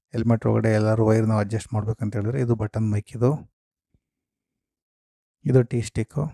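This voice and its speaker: A man's voice: lowish voice, creaky, dark tone, no room sound, studio quality. A man hosting a casual unboxing, explaining things step by step with English terms mixed in. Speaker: host of a gear unboxing and review video. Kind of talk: casual product walkthrough.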